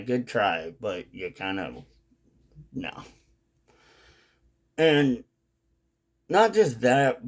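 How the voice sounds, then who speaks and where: A man with a mid-range voice speaks off the cuff in a casual vlog style. He rambles through his thoughts, often restating and rewording what he has just said. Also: mid-range voice. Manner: rambling, repeats and rephrases points. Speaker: video creator on a dipping tobacco channel. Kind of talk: casual unscripted vlog monologue.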